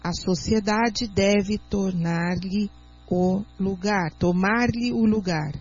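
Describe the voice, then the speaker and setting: A Brazilian Portuguese-accented woman with a husky, breathy voice and a mid pitch, explaining things in an earnest, teacherly way. The recording is muffled and lo-fi, like radio audio. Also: a little mellow, soft background music. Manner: earnest, teacherly, reads aloud slowly. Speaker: guest speaker, doctor.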